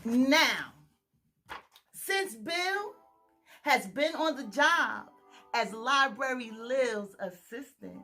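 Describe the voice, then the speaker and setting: A woman reading a children's picture book aloud, expressive and speaking directly to young listeners. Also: sits high, very slow, pauses often, soft background music. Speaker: storytime reader. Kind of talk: children's story read-aloud.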